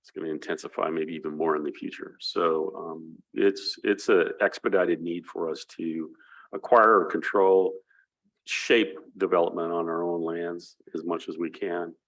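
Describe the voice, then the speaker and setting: Low-voiced guy running a council meeting, loose, halting talk. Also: flat delivery, a bit bright, rough recording. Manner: informal, halting.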